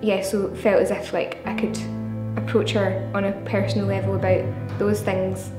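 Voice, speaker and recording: Young woman, measured and thoughtful, even tone, roomy echo, soft music behind. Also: medium pitch. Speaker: young volunteer. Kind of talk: reflective interview talk.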